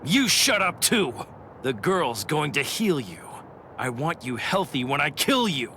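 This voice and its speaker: Brash, sharp-voiced anime warrior villain. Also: male voice, a little high, very animated, unhurried, a little hiss or hum, exaggerated voice. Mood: furious, impatient. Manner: curt, taunting, bossy. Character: brash, aggressive warrior villain, hot-tempered and predatory. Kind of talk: English anime dub acting.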